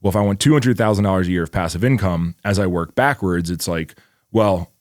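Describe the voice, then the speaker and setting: Podcast co-host, male, around forty, very low voice, dry close sound, loose rambling delivery with frequent cursing. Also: fairly quick, a little mellow, no echo. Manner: rambling, swears freely, many "like" fillers. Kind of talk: casual chat.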